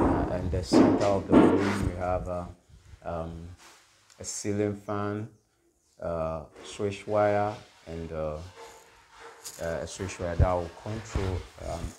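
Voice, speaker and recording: A man giving a casual walk-through as an electrician, halting as he lists items, on a handheld recording inside an unfinished house. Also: sits low. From Ghana. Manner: halting, itemising. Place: indoors, unfinished house with bare walls. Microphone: handheld phone or camera. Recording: noisy handheld recording in bare room.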